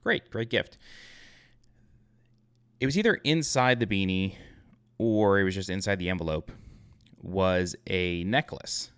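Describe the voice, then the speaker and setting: A man's voice: low voice, a little slow, pauses often, fairly bright tone, clear, resonant tone. A man in his thirties from Long Island tells a personal story in a casual, rambling way. He corrects himself often and uses many fillers. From Long Island, New York. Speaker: YouTube channel host.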